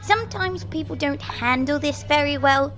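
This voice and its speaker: A woman's voice: high voice, normal speed, fairly bright tone. A woman playing a chatty, reassuring otherworldly spirit with a flippant, wry way of talking in an audio drama. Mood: wry, chiding. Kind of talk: acted audio drama dialogue.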